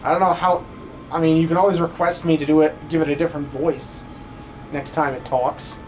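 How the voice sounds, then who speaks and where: A man's voice: a little high, fairly even intonation, brisk, poor audio. Joking male game streamer, faint background noise. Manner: joking, informal. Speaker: streamer and amateur voice actor. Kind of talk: casual livestream chat while gaming.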